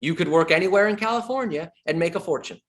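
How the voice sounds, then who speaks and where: Man, middle-aged, sales coach, lively swinging pitch, emphatic, repeats himself, jokey. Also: fast talker. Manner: emphatic, repeats key points, jokes with the audience. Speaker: real estate sales coach. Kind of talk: sales training talk.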